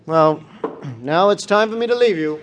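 An actor playing a poor, joyful young German musician in an audio drama, rapturous and declamatory. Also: male voice, on the high side, animated, unhurried, highs cut off, a little hiss or hum. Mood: guarded, curt. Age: young adult. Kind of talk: dramatized audio play acting.

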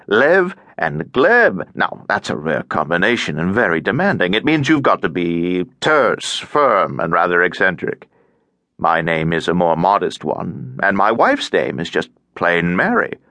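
A man's voice: sits low, sing-song, measured pace, sharp tone, no room sound, full character voice. A man voicing a cheerful, tiresome, garrulous elderly Russian émigré in an audiobook, droning on with over-familiar chattiness. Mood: chatty, undismayed. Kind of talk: audiobook character acting.

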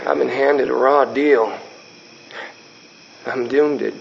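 An actor playing a slow, gentle ballplayer who is dying, in plain, halting country talk. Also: male voice, mid-range voice, fairly even intonation, creaky, muffled recording. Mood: musing. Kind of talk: radio drama acting.

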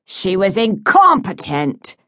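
A woman's voice: mid-range voice, huge pitch swings, takes it slowly, pushed and bright, dry recording, studio quality, pitched above the performer's own voice, exaggerated voice. A woman voicing a bitter, sarcastic, sick old father in an audiobook. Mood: irritated, demanding. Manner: bellowing, sarcastic. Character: sick, cantankerous, bitter old father, wealthy Southern man.

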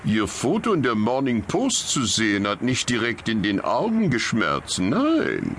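Suave master detective, very lively melodic delivery, wry, polite, audio drama, noisy background. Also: male voice, medium pitch, a little slow. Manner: theatrical, drily ironic, polite. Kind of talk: German audio drama acting.

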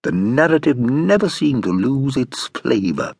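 An actor playing an urbane, amused nephew telling of his uncle, in theatrical British audiobook narration with deliberate, relishing phrasing. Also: mid-range voice, big pitch swings, creaky, darkish tone, husky, no echo, exaggerated voice. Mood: admiring relish.

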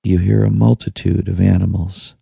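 A deep, very dark and mellow male voice reads a guided meditation. He speaks in hushed, soothing phrases with long pauses between them. The tone is heavily husky and breathy. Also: little pitch movement, no room sound. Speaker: audiobook narrator. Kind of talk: guided meditation, scripted narration.

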